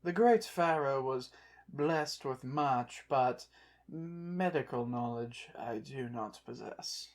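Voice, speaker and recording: A performer in an ASMR roleplay voicing a haughty, prideful undead Egyptian pharaoh, slow and softly acted, with pompous, formal phrasing. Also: medium pitch, animated. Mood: curious, haughty.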